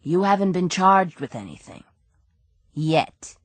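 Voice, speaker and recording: A worn-out cop, low and steady, dry close sound, read by a woman. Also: takes it slowly, no echo. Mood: quiet firm. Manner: quiet, steady, tired. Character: weary male police detective, calm and firm.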